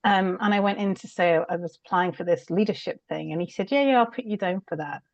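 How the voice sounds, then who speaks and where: A woman's voice: lowish voice, quick pace, no echo, dull audio. A middle-aged British woman, a guest on an interview show, reflecting on her work in a candid, conversational way, with frequent laughs and fillers. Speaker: guest, change consultant.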